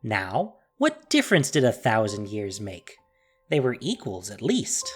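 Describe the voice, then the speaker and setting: Female narrator for fantasy audio drama: solemn, emphatic, with animated pitch movement over soft music. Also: measured pace. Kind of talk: dramatic scripted story narration.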